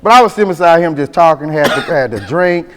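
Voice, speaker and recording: A man with a Southern US accent in a casual interview, telling stories in animated, slangy talk full of verbal tags. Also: on the high side, quick pace, long reverb tail. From born in Mississippi, raised in Atlanta. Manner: animated, slangy, repeats "you know what I'm saying". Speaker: rap group member being interviewed. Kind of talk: casual storytelling chat.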